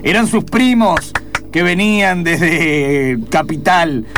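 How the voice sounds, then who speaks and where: Guy narrating a radio improv story, dramatic and suspenseful, high voice, soft music under it. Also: lively intonation, slow pace.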